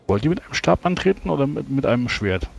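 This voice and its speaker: A man's voice: medium pitch, big pitch swings, quick pace, creaky, slightly rough, faint music behind. A man playing a tabletop role-playing game, speaking in character and stumbling over repeated words. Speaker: player.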